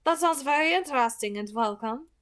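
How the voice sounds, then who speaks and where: Storybook role: cordial, inquisitive woman leading a vampire pack, pitched up, voiced by a female reader. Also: animated, brisk, a bit bright. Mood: friendly, curious. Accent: French. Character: friendly, curious female vampire pack leader.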